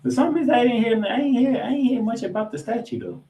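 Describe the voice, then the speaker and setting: A man's voice: extremely high pitch, big pitch swings, quick pace, dark tone, highs cut off. A man co-hosting a sports podcast, giving football recaps with emphatic, announcer-like delivery of scores and stats mixed with casual banter.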